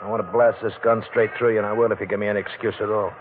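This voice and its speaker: A man's voice: fairly low pitch, little pitch movement, very fast, close to an everyday voice. An actor playing a tough, threatening young male gunman thug in a 1940s radio drama on a tinny old broadcast. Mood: menacing.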